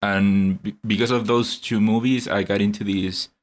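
A young man co-hosting a casual podcast, talking in a measured, thoughtful way with hesitant fillers. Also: fairly low pitch, fairly even intonation. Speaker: podcast co-host.

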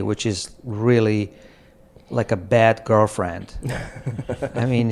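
A middle-aged man from Croatia, a podcast guest speaking slowly and reflectively in an apartment, with halting phrasing, frequent pauses and soft laughs. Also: medium pitch. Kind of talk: reflective conversation. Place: apartment in a city.

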